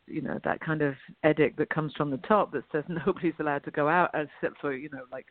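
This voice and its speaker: A woman's voice: sits low, steady tone, fairly quick, dry recording. A woman with a British accent, a guest phoning in to a radio show, chatting upbeat and thinking aloud. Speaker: guest, venue owner. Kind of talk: phone-in radio interview. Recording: tinny phone line.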